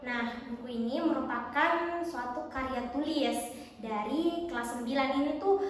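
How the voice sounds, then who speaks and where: A woman's voice: high-pitched, a little slow, a little hiss or hum. A young woman from Indonesia giving explanatory answers as an interview guest. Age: teens or young adult. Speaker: podcast guest, student book co-author. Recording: very echoey, reverberant hall.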